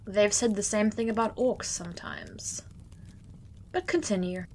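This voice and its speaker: A woman's voice: mid-range voice, measured pace, a little mellow, a little hiss or hum, lightly acted. A woman playing a wry female interrogator with a dry, teasing way of talking.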